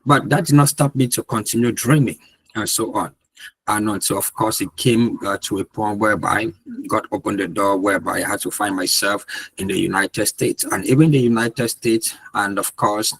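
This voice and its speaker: This is a man speaking in a somewhat low, husky voice. He talks earnestly and repeats himself, often trailing into "and so on." The sound is dry and close, as on a video call. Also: steady tone, moderate pace, fairly bright tone, no room sound, poor audio. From Cameroon. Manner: earnest, repetitive, peppered with "and so on". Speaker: guest speaker. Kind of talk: motivational talk.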